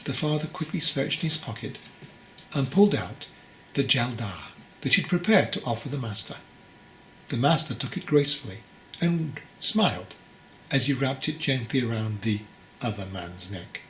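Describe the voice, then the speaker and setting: Male audiobook narrator, mid-range voice, measured phrasing, deliberate pauses, scripted storytelling. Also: mid-range voice, expressive, a bit bright, a little hiss or hum, rough recording. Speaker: author reading his own book aloud.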